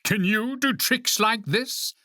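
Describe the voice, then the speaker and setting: Give this storybook toad a high voice that swings widely in pitch as a narrator acts him out. He is showy and needy, exclaiming and pleading with great feeling. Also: sing-song, slow pace, fairly bright tone, dry recording, studio quality, exaggerated voice. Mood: boastful, showy. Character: boastful then anxious, lonely toad. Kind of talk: animated children's storybook character reading.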